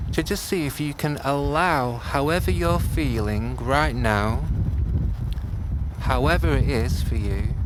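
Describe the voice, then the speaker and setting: A man teaching a guided meditation, speaking gently in short phrases with long pauses. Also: medium pitch. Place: outdoors. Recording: outdoor class with clear background noise.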